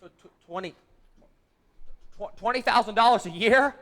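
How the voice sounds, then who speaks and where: A man's voice: high-pitched, moderate pace, a bit bright. A young man playing an earnest, nervous young clerk in a live radio play, stammering and repeating words. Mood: flustered. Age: young adult. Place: theater stage.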